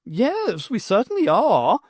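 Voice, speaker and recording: A man voicing an eager, flattering dwarf in an audiobook, loud and gushing. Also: very high voice, slow, dry recording, clean studio sound, voice pushed up, heavily acted.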